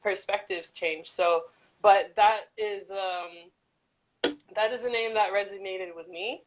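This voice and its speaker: A woman guest artist with a deep voice, musing in a meandering casual chat on a live video call. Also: steady tone, slow pace, muffled recording, a little hiss or hum. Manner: reflective, meandering, with frequent "like" and "you know". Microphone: live-stream call.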